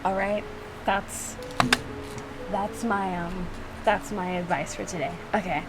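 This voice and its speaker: A woman's voice: mid-range voice, steady tone, takes it slowly, over loud music. A woman chatting casually in a playful, self-mocking way, like a YouTuber doing a reaction video. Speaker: YouTuber reacting to own visual novel. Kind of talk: casual rambling reaction commentary. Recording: clearly noisy room recording.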